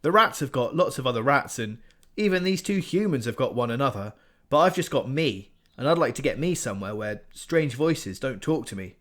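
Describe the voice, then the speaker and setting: A man voicing a nervous, sly male cat who talks fast and wheedles, pitched up. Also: expressive, a bit bright, lightly acted. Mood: anxious. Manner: quick, wheedling, higher pitched.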